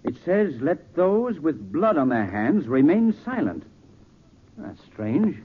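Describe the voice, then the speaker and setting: Gentleman detective, shrewd, take-charge, swooping intonation, thin noisy 1940s radio recording. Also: fairly high pitch, big pitch swings, takes it slowly, narrow, tinny audio. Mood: alert, urgent. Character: shrewd, commanding gentleman sleuth.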